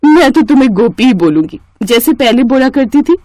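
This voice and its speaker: A woman acts out a brazen young elder sister from a cartoon morality tale. Her voice is high and taunting, with a haughty, entitled air. The sound is dry. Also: big pitch swings, a little fast, warm tone, dry recording. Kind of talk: cartoon moral story acting.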